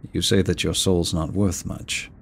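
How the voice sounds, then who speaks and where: A man's voice: sits low, fairly even intonation, gravelly, a little hiss or hum, heavily acted. A man voicing a seductive, courteous immortal incubus demon in a roleplay audio drama, speaking to the listener in a silky, theatrical way. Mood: coaxing, intrigued. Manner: slow, silky, theatrical, courteous with drawn-out words. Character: seductive, teasing male incubus demon, immortal deal-maker.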